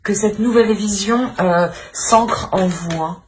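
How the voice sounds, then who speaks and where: A woman's voice: medium pitch, slow pace, noisy background. A woman giving an unscripted tarot reading to viewers, hesitant and searching for words as she repeats phrases. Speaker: tarot reader and channel host.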